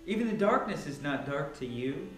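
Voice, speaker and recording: A man's voice: on the high side, sing-song, normal speed, fairly bright tone, soft background music. A man speaking as a Bible reader at home, recorded in a large room by a camera some distance away. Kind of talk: casual introduction, then dramatic scripture reading. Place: large, sparsely furnished living room at home. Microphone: camera a few metres away. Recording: echoey room, picked up from a distance.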